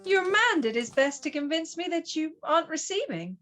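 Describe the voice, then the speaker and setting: A woman's voice: very high voice, sing-song, moderate pace, a bit bright, faint music behind, exaggerated voice. A woman acting a devoted, frustrated lady in love, earnest and pleading, in a radio play. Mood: concerned. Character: Fanny, devoted, frustrated married lady lover.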